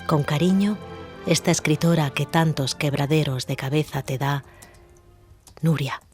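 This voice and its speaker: A woman's voice: sits low, a little slow, a little mellow. A woman reading a scripted, letter-style trailer narration as its writer, with music behind her.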